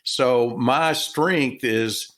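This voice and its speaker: A slow, unhurried older man with a Texan drawl speaks at a middling pitch. He answers interview questions in a folksy, halting way and fills his pauses with plenty of "uh" and "um". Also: fairly bright tone. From Texas. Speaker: author and former business owner.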